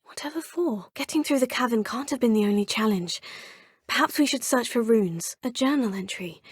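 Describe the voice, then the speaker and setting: A woman's voice: fairly high pitch, animated, normal speed, breathy edge, dry recording, clean studio sound. A woman voicing a young witch student in a video game, speaking in a polite, formal and inquisitive way. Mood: earnest. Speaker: player character in a video game.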